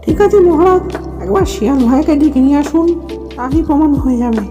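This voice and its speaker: A woman voicing a clever, excitable monkey parent in a cartoon, pleading and crafty. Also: sits high, quick pace, over music. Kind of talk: cartoon character dialogue.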